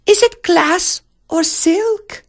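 A woman's voice: very slow, heavily acted. A woman voicing a haughty, spoiled young princess, whiny, girlish and petulant, in a storybook telling. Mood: eager, cooing. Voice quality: very high-pitched, girlish. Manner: petulant, cooing, exclamatory.

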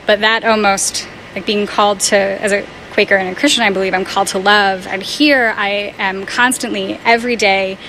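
A woman on staff at a faith community house, answering interview questions in an earnest, thoughtful way with soft laughs. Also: mid-range voice, fairly even intonation, moderate pace, hardly any pauses, a little hiss or hum, poor audio.

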